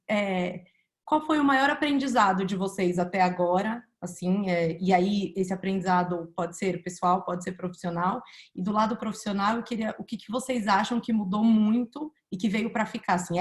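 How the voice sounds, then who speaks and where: A Brazilian woman hosts an online panel, her voice sitting mid-range for a woman. She talks loosely and conversationally, filling pauses as she thinks aloud. The sound is a plain video-call connection. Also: medium pitch. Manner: conversational, many fillers, thinks aloud while asking. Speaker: panel moderator. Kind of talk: webinar panel discussion.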